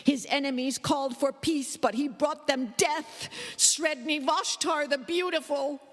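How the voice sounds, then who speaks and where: A woman voicing a lonely, sickly boy who chants bitter, fervent prayers slowly and intensely in a storytelling performance. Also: sits high, soft background music, voice pushed up. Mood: defiant chanting. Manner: slow, incantatory, intense. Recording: live performance in a reverberant hall.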